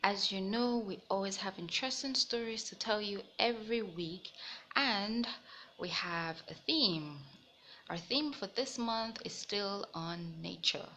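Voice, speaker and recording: A woman's voice: medium pitch, measured pace, pushed and bright, a little hiss or hum. A woman hosting a children's read-aloud, narrating a picture book expressively for young listeners. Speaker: storytelling host.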